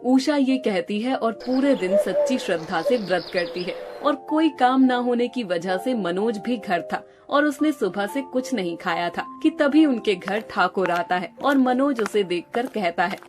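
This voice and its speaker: A woman's voice: medium pitch, lively intonation, measured pace, hardly any pauses. A woman narrating a scripted story as a storyteller. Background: music behind the voice.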